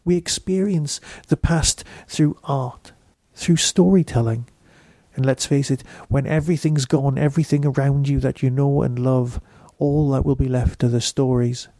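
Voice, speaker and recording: Mellow male podcast reviewer, close dry sound. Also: medium pitch, fairly even intonation, moderate pace, dry recording. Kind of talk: scripted review narration.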